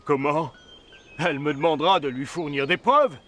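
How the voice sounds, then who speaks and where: Nervous, remorseful huntsman in a cartoon: raised pitch, stumbling, beseeching delivery. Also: bright, forceful tone, a little hiss or hum. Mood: hesitant. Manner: halting, pleading. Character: anxious, remorseful huntsman serving a queen. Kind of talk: animated fairy-tale dubbing.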